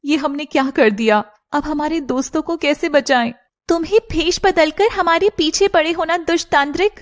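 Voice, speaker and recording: A woman's voice: high voice, normal speed, pitched above the performer's own voice, close to an everyday voice. A woman voicing a young, loyal serpent woman in a cartoon, earnest and dramatic. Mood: alarmed, indignant. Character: young female shape-shifting serpent woman, emotional and loyal. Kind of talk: Hindi cartoon character dialogue.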